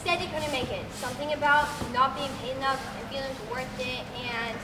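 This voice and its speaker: Young woman as dry radio crew member, high voice, sardonic, matter-of-fact, far from mic, noisy. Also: steady tone, fairly quick, natural voice. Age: teenager. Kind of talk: amateur stage comedy acting. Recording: distant echoey hall with noise.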